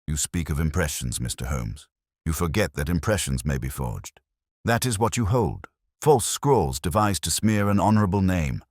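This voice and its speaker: A cold aristocratic villain acted by a male narrator with a very deep voice that rises and dips sharply. He is pompous and bitter, full of formal contempt, in a dry storybook recording. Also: lively intonation, normal speed, darkish tone, dry recording. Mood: contemptuous denial. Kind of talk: dramatised audiobook mystery.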